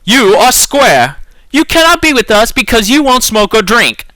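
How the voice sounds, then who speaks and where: A man's voice: very high voice, very animated, moderate pace, sharp tone, no room sound, heavily acted. A man voicing a brash, pushy older female horse who is a bad influence in a storybook. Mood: taunting.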